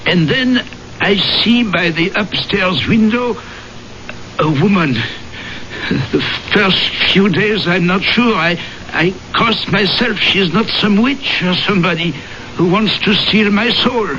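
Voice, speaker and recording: Radio drama casting: timid, superstitious rustic milkman, higher-pitched, bright, hesitant and deferential, on a dull old broadcast. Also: slow, a little hiss or hum, heavily acted. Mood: nervous, sheepish. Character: nervous, superstitious young village milkman. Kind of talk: 1970s radio drama acting.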